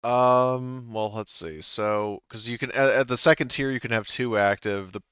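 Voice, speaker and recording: A man's voice: mid-range voice, moderate pace, fairly bright tone, smooth, dry recording, highs cut off. A man running a tabletop game session as game master, thinking aloud and stopping often to check the rules. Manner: hesitant, thinks aloud with many fillers.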